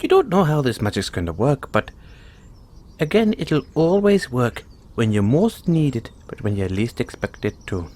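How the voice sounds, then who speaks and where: A man's voice: medium pitch, sing-song, moderate pace, darkish tone. A man voicing a kindly, playful leprechaun in an audio roleplay, folksy and reassuring. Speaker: roleplay performer. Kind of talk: audio roleplay, speaking softly to one listener.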